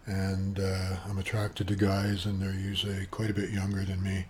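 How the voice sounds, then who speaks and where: A soft-voiced Canadian man in his seventies, sitting at his computer at home and rambling through a personal video monologue with many asides. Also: deep voice, completely flat delivery, moderate pace, a little hiss or hum. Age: 70s. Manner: chatty, eccentric, wandering with asides and fillers. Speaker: vlogger. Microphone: webcam with external sound gear.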